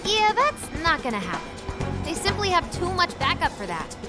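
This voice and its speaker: A very high-pitched, animated young girl from a video game, earnest and expressive. Loud music runs behind the voice. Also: moderate pace. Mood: concerned. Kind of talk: dubbed video game cutscene acting.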